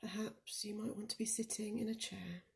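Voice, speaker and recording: A woman leading a guided meditation in a soft, soothing, often near-whispered voice, speaking deliberately with gentle pauses. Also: mid-range voice, fairly even intonation, vocal fry. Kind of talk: guided body scan meditation.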